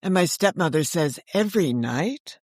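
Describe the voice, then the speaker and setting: A woman's voice: on the low side, expressive, moderate pace, darkish tone, dry recording, clean studio sound. An older woman, around seventy, chatting candidly as an interview guest and telling casual anecdotes, acting out what people said. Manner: chatty and candid, acts out quoted remarks. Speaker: guest, author and audio drama creator.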